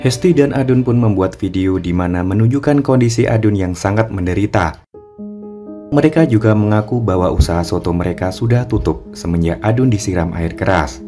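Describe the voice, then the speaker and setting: A man narrates a cartoon story in a steady, even way. His voice sits low. Music plays clearly under him.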